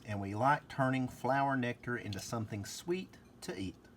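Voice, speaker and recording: A man's voice: mid-range voice, expressive, moderate pace, a little hiss or hum, lightly acted. A man voicing a cheerful, friendly female honeybee in a children's storybook.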